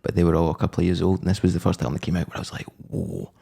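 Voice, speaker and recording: Low, creaky-voiced man chatting casually. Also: little pitch movement, rapid-fire, dark tone. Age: 30s. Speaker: podcast co-host. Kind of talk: casual comedic music chat.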